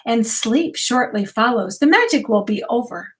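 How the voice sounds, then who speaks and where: A woman's voice: fairly high pitch, expressive, moderate pace, slight background noise, natural voice. An older woman voicing a giddy male turtle in a children's storybook, explaining things in rhyme. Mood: giddy, dreamy.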